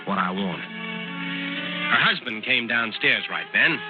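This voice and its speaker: Sly, low-voiced young American man. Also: animated. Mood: sly, confiding. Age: young adult. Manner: folksy, halting, insinuating. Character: sly, calculating young man feigning boyish grief. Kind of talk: mid-century radio drama acting, dialogue and first-person narration. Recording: dull vintage radio recording, music underneath.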